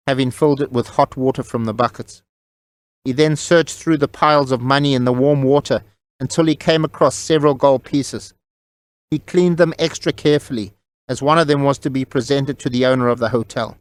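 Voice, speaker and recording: A man's voice: mid-range voice, dry recording. A man reading scripted story narration as an audiobook narrator, close on the mic.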